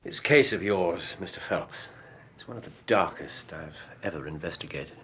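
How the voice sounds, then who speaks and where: An actor playing a theatrical, teasing English detective in a radio drama, husky, savouring his pauses and flourishes. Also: low-pitched, lively intonation, fairly bright tone, slight background noise. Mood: grave, subdued. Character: theatrical, teasing English male detective, self-satisfied and playful.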